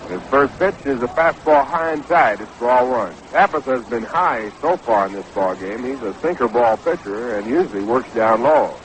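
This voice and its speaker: An American man calling baseball play-by-play on the radio in a measured, descriptive way. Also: medium pitch, expressive. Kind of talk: live radio sports commentary. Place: ballpark broadcast booth. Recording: noisy 1960s AM radio broadcast.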